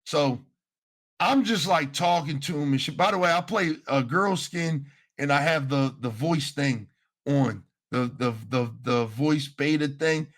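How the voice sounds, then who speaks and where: A man's voice: fairly high pitch, unhurried. A man telling gaming stories on a casual podcast in slangy, profane talk, acting out the exchanges as he goes. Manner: slangy, profane, animated retelling with acted-out quotes. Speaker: podcast host.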